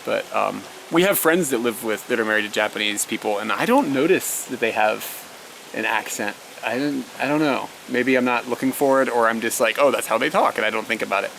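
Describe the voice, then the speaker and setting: A man's voice: medium pitch, fairly quick, gravelly, a bit bright, a little hiss or hum, poor audio. A young man chatting casually to his viewers and answering their questions, a storyteller who fills his talk with "like" and laughs often. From United States. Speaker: vlogger, elementary school teacher.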